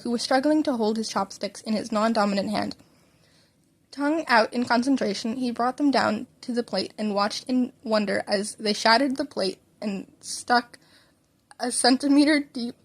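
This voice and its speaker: A woman narrating a story aloud as an informal hobby reader, sometimes stumbling and rereading a line. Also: a little high. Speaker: fan fiction podcast reader.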